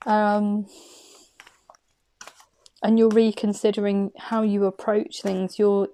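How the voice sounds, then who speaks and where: Mellow-voiced woman reading tarot. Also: medium pitch, slight background noise. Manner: chatty and effusive, thinking aloud, frequent laughs. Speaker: tarot card reader.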